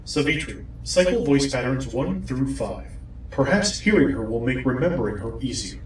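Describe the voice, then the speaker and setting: A commanding, obsessed villain played by a man in an audio drama, drenched in echo. The delivery is deliberate and threatening, with dramatic swings of pitch, heard a little far from the mic. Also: lively intonation, natural voice. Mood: cold, focused. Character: commanding, obsessed villain hunting a lost loved one. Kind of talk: dramatic audio fiction acting. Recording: echoey, distant, faint noise.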